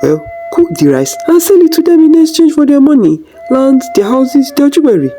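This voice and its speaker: A practical, scheming villager from a folktale, played by a woman storyteller, pitched up and persuasive. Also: big pitch swings, normal speed, mellow, a little hiss or hum. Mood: eager, persuasive. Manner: persuasive, explanatory.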